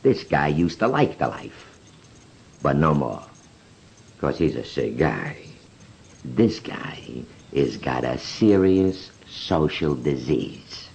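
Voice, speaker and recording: Streetwise American underworld informant, man, extremely deep and gravelly, blunt slangy delivery, old noisy radio recording. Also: big pitch swings, takes it slowly, darkish tone. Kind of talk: slangy tough-talking testimony on old radio.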